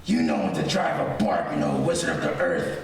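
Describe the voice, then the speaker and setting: A man's voice: very deep voice, big pitch swings, fairly quick, vocal fry, heavily acted. An actor playing a blustering, brutish alien king given to roaring, in old-time radio serial style. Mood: grudging. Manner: blustering, theatrical, prone to roaring. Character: bellowing, brutish alien tyrant king, proud and menacing. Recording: very echoey distant stage recording, loud noise.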